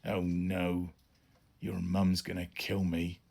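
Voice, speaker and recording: A man's voice: low voice, monotone, takes it slowly, vocal fry, a little mellow, full character voice. A man voicing a middle-aged, roguish space pirate father with folksy, colloquial talk in a children's audiobook. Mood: resigned.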